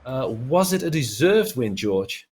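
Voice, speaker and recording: A man's voice: mid-range voice, sing-song, measured pace, darkish tone, husky. A man giving live play-by-play commentary on a football video game as an esports commentator.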